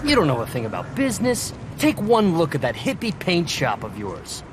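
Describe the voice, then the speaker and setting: A man's voice: wildly swooping pitch, normal speed, over loud music. An actor playing a pushy, smug, greedy businessman uncle in a cartoonish racing game, talking down like a salesman. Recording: clear background rumble and ambience.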